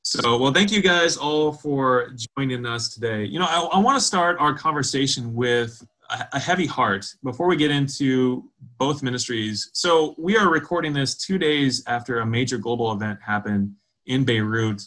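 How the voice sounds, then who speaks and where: Bright-voiced man hosting an interview. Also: medium pitch. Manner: friendly, halting with fillers. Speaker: host. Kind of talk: video call interview.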